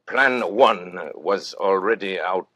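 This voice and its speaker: Radio-drama master criminal, very low voice, dry close sound, vain, suave, precise, cold-blooded. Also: male voice, pushed and bright, dry recording, full character voice. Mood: coolly recounting. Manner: pompous, precise, unhurried courtesy. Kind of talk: old-time radio suspense acting.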